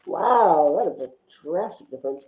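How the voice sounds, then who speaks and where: A man's voice: on the high side, expressive, moderate pace. A man in a casual film chat, opinionated and rambling as he talks. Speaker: film discussion podcast guest. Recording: roomy echo, thin phone-like line.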